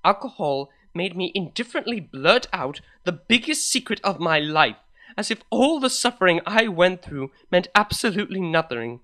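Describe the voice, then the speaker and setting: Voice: mid-range voice, big pitch swings, moderate pace, sharp tone, voice pushed up. A reader acting out an earnest, emotional pony mare. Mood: distraught, exasperated. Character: Octavia, anxious, heartfelt, well-spoken adult female pony. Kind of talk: dramatic fan fiction reading.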